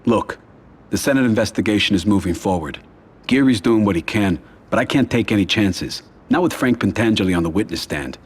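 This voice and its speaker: An actor playing a cold, controlled mafia don in a video game, grave and measured, with an American accent. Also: male voice, on the low side, expressive, breathy edge. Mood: calm, commanding. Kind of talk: video game cutscene acting.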